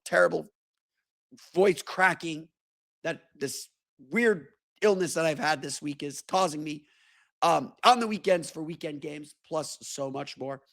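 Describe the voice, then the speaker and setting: A man with a hoarse voice, hosting a casual sports podcast and often repeating words as he thinks aloud. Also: on the high side, a bit bright. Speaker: podcast host and senior writer.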